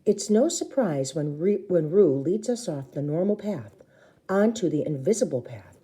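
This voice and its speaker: A woman reads a children's story aloud in a very soft-edged, dark voice. Her pitch sits a little low but moves around a lot. She is theatrical and acts out the dialogue as she goes. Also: expressive, moderate pace. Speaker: storybook reader. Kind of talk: expressive children's book narration.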